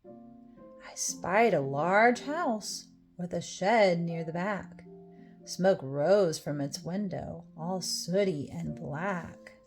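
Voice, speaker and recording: A woman reading a rhyming children's storybook aloud, with expressive, deliberate phrasing. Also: lowish voice. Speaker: storybook reader. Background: music.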